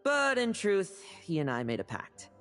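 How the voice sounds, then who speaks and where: A woman's voice: medium pitch, sing-song, moderate pace, fairly bright tone, long reverb tail, soft background music. A woman playing a cheeky, evasive young knight in a game, with a breezy, glib manner. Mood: scheming, playful. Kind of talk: game voice acting.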